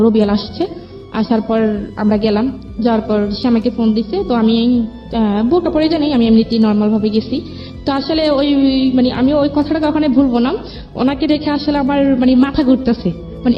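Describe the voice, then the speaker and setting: A woman with a Bangladeshi accent telling her own life story as an interview guest. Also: a little high. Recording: dull lo-fi audio, music underneath. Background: background music.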